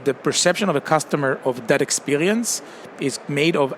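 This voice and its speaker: Guest CEO, male, forties, Israeli accent, relaxed and filler-heavy; light trade-show noise behind. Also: a little high, moderate pace. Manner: casual storytelling, many fillers like "kinda" and "um". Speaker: retail company CEO, guest. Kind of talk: conversational interview. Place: busy trade show floor, open-roof podcast booth. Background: crowd chatter and noise.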